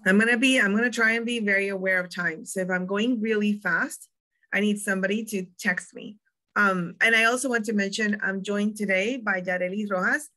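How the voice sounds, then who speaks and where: A young woman with a Puerto Rican accent walks listeners through a museum tour. Her voice is bright and forward, at an ordinary middle pitch. She talks in a chatty, easygoing way, full of ums and quick restarts. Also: fairly even intonation. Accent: Puerto Rican Spanish. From Puerto Rico. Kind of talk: presenting a virtual museum tour.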